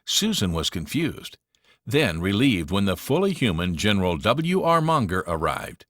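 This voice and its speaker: A man narrating a children's read-along storybook in an expressive, dramatic manner. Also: on the low side, normal speed, no echo, clean studio sound.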